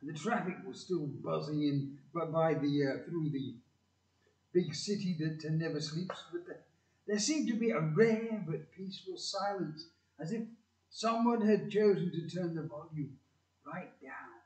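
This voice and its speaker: A man plays an atmospheric male storyteller in an audio play. His fairly high voice reads haltingly. He is some way from the mic in an echoey room. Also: darkish tone, lightly acted. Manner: halting reading. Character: male storyteller setting an atmospheric night scene. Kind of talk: scripted narration. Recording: echoey room, distant microphone.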